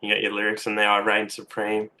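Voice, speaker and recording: A man's voice: lowish voice, completely flat delivery, quick pace, bright, forceful tone, slight background noise, low-quality recording. A man hosting a casual interview, eager and full of compliments, sometimes stumbling over his words. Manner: enthusiastic, complimentary, stammers a little. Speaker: interviewer, host.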